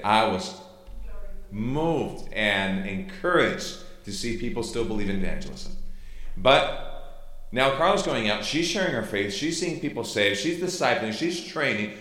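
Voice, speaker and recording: Missionary pastor, male, Chilean Spanish accent, native Spanish speaker. Bright, forward voice, informal testimony to a congregation, reverberant church room. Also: on the low side, sing-song, measured pace. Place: church hall during a service. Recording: echoey room sound.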